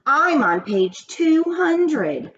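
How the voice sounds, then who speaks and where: A woman's voice: sits high, sing-song, very slow, a bit bright, highs cut off, pitched above the performer's own voice, heavily acted. A woman voicing a bossy, boastful schoolgirl in a storybook reading, demanding and whiny as she pesters a classmate.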